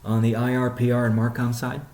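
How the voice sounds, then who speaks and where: A man leading an informal business update call as a chief executive, explaining things in a measured way with pauses mid-sentence. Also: mid-range voice, monotone, darkish tone. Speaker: company CEO hosting a weekly update call. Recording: roomy, echoing sound.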